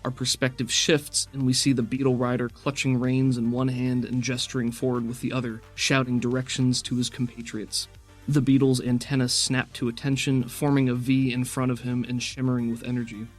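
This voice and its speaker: A man runs a tabletop game as game master, narrating scenes in a measured, cinematic way. His voice sits at a middle pitch, with faint music underneath. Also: level tone.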